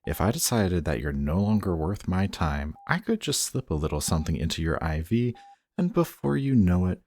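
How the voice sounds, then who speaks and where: Man acting a very deep-voiced smug villain. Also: very animated, moderate pace, a little mellow, dry recording, full character voice. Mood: coldly threatening. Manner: teasing, condescending, commanding. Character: smug, guarded male supervillain, grudgingly protective of his captive. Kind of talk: scripted roleplay audio drama addressed to the listener.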